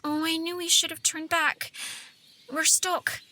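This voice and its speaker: A woman's voice: very high-pitched, little pitch movement, measured pace, background noise. A woman playing a feisty, skeptical young sister in an audio drama, sassy and exclamatory. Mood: anxious.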